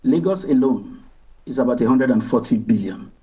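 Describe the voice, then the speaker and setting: A man with a Nigerian accent, explaining as an interview guest in a deliberate, halting, emphatic way, recorded at some distance from the mic. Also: mid-range voice, lively intonation, dark tone. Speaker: interview guest, newspaper editor and journalist. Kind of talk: conversational interview commentary.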